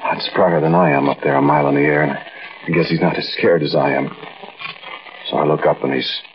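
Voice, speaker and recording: An American man playing a frightened robber who narrates a night heist in a halting, conversational 1940s radio drama style. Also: very deep voice, fairly even intonation. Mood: tense recounting. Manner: conversational, brooding, halting storytelling. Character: uneasy, frightened male bank robber recounting a night heist. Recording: muffled, noisy old-time radio recording.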